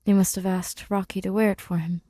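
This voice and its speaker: A woman narrating scripted fiction in an intimate, subdued, halting way, her breathy voice often near a whisper. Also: medium pitch, little pitch movement, dark tone, dry recording, clean studio sound. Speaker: podfic reader.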